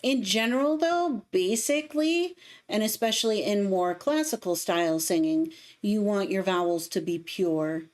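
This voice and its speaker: A woman's voice: medium pitch, normal speed, a little mellow. A woman in her thirties with a light Midwestern accent, chatting casually on a home livestream, laughing often and explaining singing technique. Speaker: streamer and cover singer. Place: home room, indoors.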